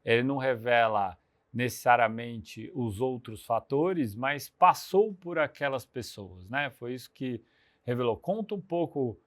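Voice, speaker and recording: A man's voice: medium pitch, a little slow. Upbeat Brazilian podcast host man. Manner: energetic, conversational, leading questions. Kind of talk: podcast interview.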